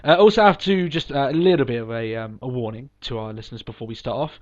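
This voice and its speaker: A man's voice: mid-range voice, fast. A man with a cold, hosting a podcast and chatting casually in an upbeat, rambling way with lots of ums and restarts. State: sniffly from a cold. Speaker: podcast host. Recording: dull lo-fi podcast recording.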